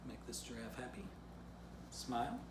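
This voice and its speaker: A man's voice: mid-range voice, animated, moderate pace. A man presenting to children in a simple, informal way, as an author reading and teaching. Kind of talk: children's story reading and casual drawing lesson. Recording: roomy echo, clear background noise.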